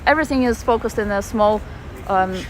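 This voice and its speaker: A woman's voice: mid-range voice, fairly quick, a crowd in the background, rough recording. A woman with a Russian accent chatting casually while walking outdoors, reading out viewer comments on a phone livestream. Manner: chatty, reads viewer comments aloud with fillers. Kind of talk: casual live-stream chat reading comments. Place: outdoors in a city park.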